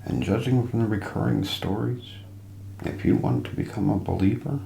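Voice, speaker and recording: A man narrating a scripted mystery documentary as an ASMR role play, in a hushed, deliberate, suspenseful manner. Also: lowish voice, creaky. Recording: roomy echo, light background noise.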